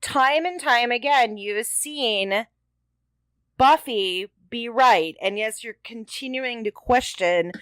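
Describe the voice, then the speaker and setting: A woman with a slightly high voice talks casually as a podcast co-host. The recording is dry and close, and her delivery is that of relaxed group conversation. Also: takes it slowly, clean tone, dry recording. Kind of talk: casual chat, TV episode discussion.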